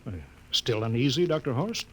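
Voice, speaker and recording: An actor playing a firm, dutiful spaceship captain, clipped and authoritative, in a vintage radio drama. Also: male voice, medium pitch, lively intonation, normal speed, a bit bright, breathy edge. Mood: commanding. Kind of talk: 1950s radio drama acting. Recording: slightly noisy vintage radio recording.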